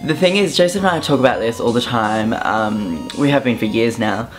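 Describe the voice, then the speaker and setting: A young man from Southeast Queensland with an Australian accent, talking casually to camera with an offhand, relaxed manner and frequent ums. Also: mid-range voice, moderate pace, faint music behind. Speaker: vlogger. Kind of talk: casual vlog monologue.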